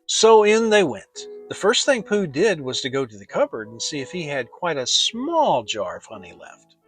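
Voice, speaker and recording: A man giving an expressive, dramatic reading of a children's story as narrator. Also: a little high, moderate pace, soft background music. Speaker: audiobook narrator.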